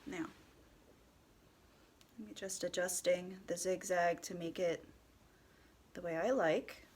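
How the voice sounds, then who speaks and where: Woman, stuffy nose from a cold, slow unhurried pace, calm tutorial host, slips into soft whispers. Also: fairly low pitch, slightly rough. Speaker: head wrap tutorial host. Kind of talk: step-by-step tutorial.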